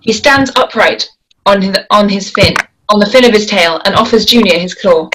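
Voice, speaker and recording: A woman's voice: medium pitch, moderate pace. A woman reading stage directions as scripted narration at a table read. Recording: faint noise and clicks.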